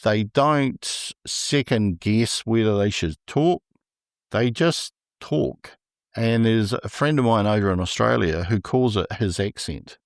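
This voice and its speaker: A man with a New Zealand accent who speaks slowly and deliberately, with a stutter, in a relaxed interview as a podcast guest. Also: on the low side, dry recording, studio quality. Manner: slow, deliberate speech with a stutter. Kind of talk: casual interview conversation.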